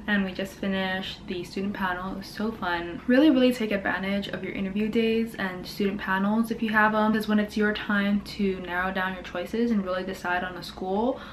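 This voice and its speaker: A woman's voice: medium pitch, steady tone, moderate pace, few pauses, slight background noise, poor audio. A woman in her twenties from Hawaii, a dental student chatting casually in her vlog with lots of fillers. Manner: chatty, upbeat, many fillers like "um" and "like". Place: home, indoors.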